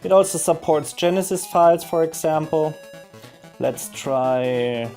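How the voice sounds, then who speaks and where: A man narrating a casual software screen demo in a halting way, thinking aloud as he goes. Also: on the high side. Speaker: software demonstrator. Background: music from audio players being demonstrated.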